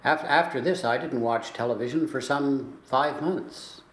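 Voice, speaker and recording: A man playing an ordinary interviewee in an animated film, speaking haltingly. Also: mid-range voice, echoey room, a little hiss or hum, lightly acted.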